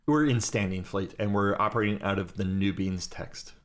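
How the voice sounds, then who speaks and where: A man's voice: fairly low pitch, moderate pace. A man teaching a class during a live game, giving step-by-step directions to his fleet over voice chat.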